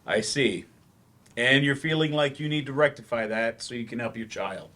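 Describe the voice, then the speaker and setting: A man's voice: mid-range voice, normal speed, bright, forceful tone, lightly acted. Man acting a wry, weary English teacher. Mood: reserved. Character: weary male writer turned remedial English teacher, wry and awkward. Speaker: actor in a staged play reading. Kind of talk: live stage play reading.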